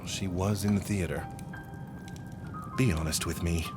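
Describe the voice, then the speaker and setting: An actor in an audio drama playing a concerned, proud senior scholar and mentor who questions insistently. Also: male voice, low voice, steady tone, a little slow. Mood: wary, insistent. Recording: music under the voice.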